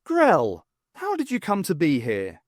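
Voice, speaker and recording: A man voicing a quick-witted woman archaeology professor, wry and commanding, in an audiobook. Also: sits high, huge pitch swings, no echo, studio quality, heavily acted. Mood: probing.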